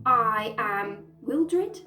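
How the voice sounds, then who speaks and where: Woman putting on a gruff, hungry storybook wolf. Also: fairly high pitch, animated, pushed and bright, echoey room, soft background music, full character voice. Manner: gruff, deliberate.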